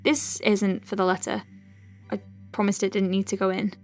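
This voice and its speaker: A woman playing a caring, conscientious doctor in an audio drama, dictating clinical notes with gentle, chatty asides. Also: mid-range voice, flat delivery, normal speed, soft background music, close to an everyday voice. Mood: concerned. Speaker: lead character, physician.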